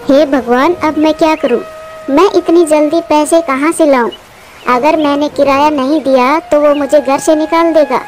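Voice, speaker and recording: A woman's voice: high voice, fairly even intonation, normal speed, faint music behind, close to an everyday voice. A woman voicing a poor widowed mother bird in a cartoon, humble and plaintive. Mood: despairing. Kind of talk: Hindi cartoon dialogue.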